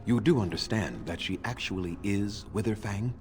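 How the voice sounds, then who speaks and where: A bitter ancient elf patriarch, played by a man in a fantasy game, deep-voiced and unforgiving. He speaks formally and with measured condescension, over background music. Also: darkish tone, close to an everyday voice. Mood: dry, guarded. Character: ancient bitter male elf clan leader, proud, unforgiving. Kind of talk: dramatic fantasy game acting.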